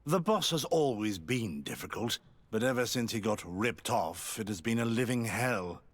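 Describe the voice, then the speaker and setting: An actor playing a disgruntled, overworked alchemist in a video game, complaining in a sardonic way. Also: male voice, lowish voice, lively intonation, normal speed. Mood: bitter, aggrieved.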